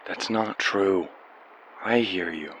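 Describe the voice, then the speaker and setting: A man's voice: low voice, slow pace, fairly bright tone, rough recording. A man playing an earnest, lovestruck young painter in a radio play, plain-spoken and sincere. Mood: tender, pleading. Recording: faint background hiss.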